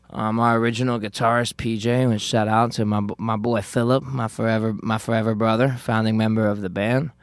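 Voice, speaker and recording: Young male guitarist guest, from South Florida, low clear voice, casual swearing storyteller, dry studio sound. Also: level tone, fairly quick, no echo. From South Florida, Cuban family. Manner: loose, profane, rambling storyteller. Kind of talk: casual podcast chat.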